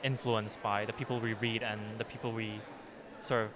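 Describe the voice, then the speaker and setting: A man's voice: on the low side, flat delivery, normal speed, a crowd in the background. A young man from Hong Kong whose first language is Cantonese, answering interview questions thoughtfully with many fillers and restarts. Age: young adult. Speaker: poet, interview guest.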